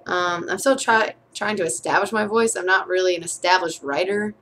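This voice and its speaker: A woman's voice: fairly low pitch, steady tone, moderate pace, a bit bright, a little hiss or hum. A young woman, a college student, talking candidly in a casual video diary about her writing, chatty with frequent fillers and restarts. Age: young adult.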